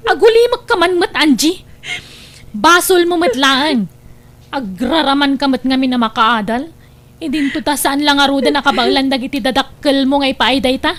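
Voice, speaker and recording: A woman's voice: sits high, moderate pace, fairly bright tone. A woman playing a sly, taunting, domineering city recruiter in a family radio drama. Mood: mocking, bossy.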